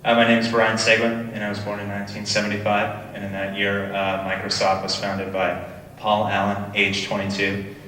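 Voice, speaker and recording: A man's voice: on the low side, completely flat delivery, normal speed, pushed and bright, slight background noise. A man reading a prepared speech hesitantly with filler sounds, heard from a distant mic in an echoey hall. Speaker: student presenter.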